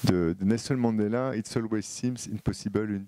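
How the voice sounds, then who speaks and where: A man's voice: fairly low pitch, steady tone, normal speed, mellow. A man with a French accent giving a live talk to an audience, hesitant and full of fillers and repeated words. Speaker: conference speaker.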